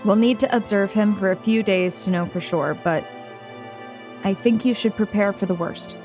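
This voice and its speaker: A woman acting a sober hospital doctor in an audio drama, mid-pitched and measured. Her delivery is clinical and matter-of-fact, with light music underneath. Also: lightly acted. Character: grave, clinical female hospital doctor delivering bad news. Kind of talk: acted dialogue in an audio screenplay reading.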